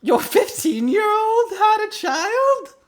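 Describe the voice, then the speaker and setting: A man's voice: very high-pitched, big pitch swings, slow pace, pitched above the performer's own voice, exaggerated voice. A man voicing a genteel, fussy old grandmother detective, fluttery and easily flustered, in an improvised comic radio play. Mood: fussy, puzzled.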